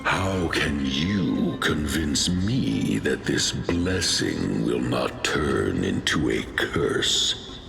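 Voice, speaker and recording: An actor playing a grave, ancient male ancestral spirit and judge in a video game, deep and gravelly, speaking formally with great weight. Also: very animated, drawn-out words, a bit bright, exaggerated voice. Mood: stern, doubting. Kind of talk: scripted video game voice acting. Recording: game audio with background music. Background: music.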